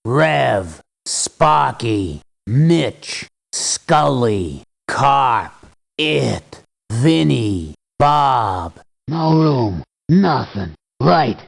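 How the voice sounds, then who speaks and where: Gruff tired merc from a video game, super gravelly n slow, husky, terse. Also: male voice, mid-range voice, very animated, heavily acted. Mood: flat, terse. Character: gruff, weary male mercenary for hire. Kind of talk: video game unit voice lines.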